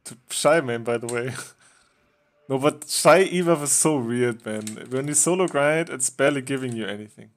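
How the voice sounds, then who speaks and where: A man casually chatting with a friend while they play a game together, relaxed and joking, laughing now and then. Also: mid-range voice, moderate pace. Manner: relaxed, joking, chuckles often. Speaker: game streamer. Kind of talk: casual gaming chat with a friend.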